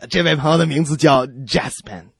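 A man's voice: a little high, a little slow, husky, no room sound, clean studio sound. A man co-hosting a comedy radio show, introducing songs and telling jokes with playful banter. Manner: playful, joking.

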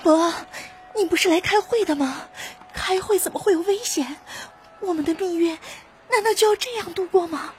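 A delicate young bride in a radio play, her voice very high and girlish. She is timid and pleading, easily frightened, with faint noise behind her. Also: normal speed. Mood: plaintive. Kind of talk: Chinese radio drama acting.

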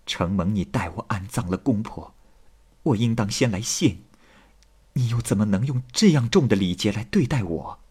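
A man voicing a gracious, steadfast young noblewoman in an old tale, speaking with soft, measured emotion. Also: fairly low pitch, animated, breathy edge. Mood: tender, grateful.

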